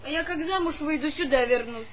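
Casting: woman for young rural daughter, defiant and pert, high quick delivery, stage comedy. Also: slight background noise, lightly acted. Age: young adult. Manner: pert, stubborn, quick to answer back. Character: defiant, headstrong young unmarried village daughter. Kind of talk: theatrical comedy stage acting.

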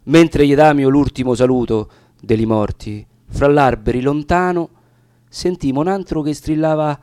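A man's voice: a little high, normal speed, darkish tone, dry recording, clean studio sound. A man with a Roman accent reciting narrative dialect verse in a solemn, theatrical manner. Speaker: reader of dialect poetry.

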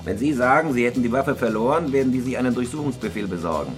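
An actor playing a cold, terse professional criminal in a radio crime drama, with a low gravelly, controlled and commanding voice. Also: male voice, lively intonation, fast talker, faint music behind, lightly acted. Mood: hard, insistent. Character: Parker, cold, terse professional male criminal planning robberies.

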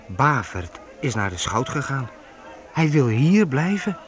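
An actor in a Dutch radio play voicing an anxious young medieval musician, eager and nervous. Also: male voice, medium pitch, sing-song, unhurried, a faint crowd. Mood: earnest, hesitant.

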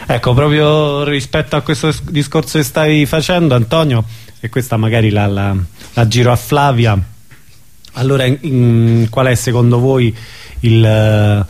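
A man's voice: medium pitch, darkish tone. Hesitant male interviewer, searching for words. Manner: hesitant, searching, roundabout questions. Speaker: interviewer, host. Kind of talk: conversational interviewing.

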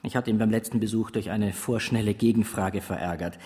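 An actor playing a dutiful, meek and pedantic academic, precise, careful and formal, in a German radio drama. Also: male voice, on the low side, steady tone, brisk, warm tone, natural voice. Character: dutiful, pedantic academic reporting meekly on his master. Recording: long hall reverb.

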